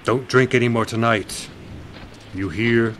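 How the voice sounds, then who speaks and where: A man's voice: medium pitch, fairly even intonation, unhurried, fairly bright tone, slight background noise, lightly acted. A man playing a mocking police officer who taunts in a sneering way. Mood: taunting.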